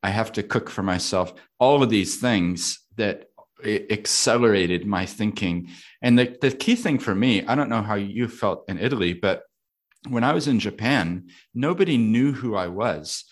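Man, American accent, low voice, thoughtful, laughs easily, casual conversation. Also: animated, moderate pace. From United States. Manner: thoughtful, laughs often, asks himself questions aloud.

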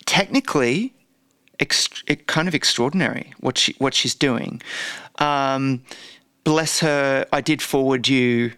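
Enthusiastic man, smooth dry voice. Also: medium pitch, takes it slowly, pushed and bright, no echo. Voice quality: says he has a croaky voice. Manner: enthusiastic, gushing. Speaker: podcast co-host. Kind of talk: casual chat.

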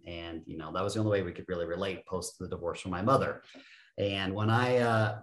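A man in his fifties, a podcast guest, telling his story in a casual, animated and self-deprecating way with frequent laughs. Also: lowish voice, echoey room. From United States. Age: 50s. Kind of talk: casual interview talk.

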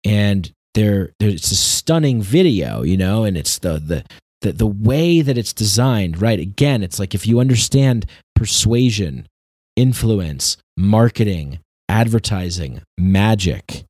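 A man with a fairly low voice speaks his opinions off the cuff in a dry, echo-free recording. He is earnest and emphatic, stopping and restarting as he hunts for the right word, often repeating a phrase before moving on. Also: very animated, darkish tone. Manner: halting, repetitive, searching for words. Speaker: commentator. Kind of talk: unscripted opinion monologue.

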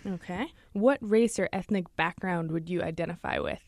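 A woman's voice: fairly low pitch, a little mellow, no room sound, clean studio sound. A woman from the Chicago suburbs hosting a podcast, talking in a chatty, conversational way. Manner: chatty, conversational, quick to laugh. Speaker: podcast host. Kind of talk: casual podcast chat.

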